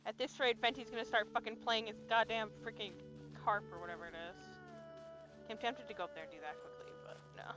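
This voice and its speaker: A woman's voice: a little high, fairly even intonation, fast talker, low-quality recording. Swearing woman streamer. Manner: profane, chatty, bossy toward the screen. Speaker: streamer playing a game. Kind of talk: casual gameplay commentary. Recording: game music playing under the voice.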